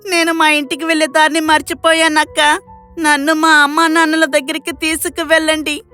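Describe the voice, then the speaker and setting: Woman as a high, tearful little boy. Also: flat delivery, moderate pace, sharp tone, soft background music. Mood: tearful, lost. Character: lost, tearful small boy.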